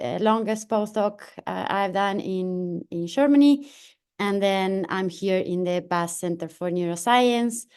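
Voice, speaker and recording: Woman giving a halting lecture. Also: medium pitch, a little mellow. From Argentina. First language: Spanish. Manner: halting, many fillers and restarts. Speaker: invited researcher giving a lecture. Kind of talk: academic online talk. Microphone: video call.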